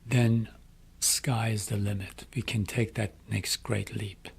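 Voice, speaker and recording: Iranian-accented middle-aged guy, slow and deliberate, gravelly husky voice, earnest appeal. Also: lowish voice, little pitch movement. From Iran. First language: Farsi. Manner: slow, deliberate, emphatic phrasing. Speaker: speaker addressing young people.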